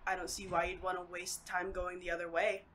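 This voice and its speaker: A woman's voice: fairly low pitch, little pitch movement, fairly quick, a bit bright, lightly acted. A woman playing a curt, threatening male street mugger in a scripted audio drama. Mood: menacing.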